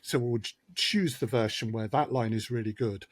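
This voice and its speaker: A man's voice: mid-range voice, moderate pace. A man, a podcast guest, thoughtfully explaining his production process step by step. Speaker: guest, audio drama producer. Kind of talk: casual podcast conversation.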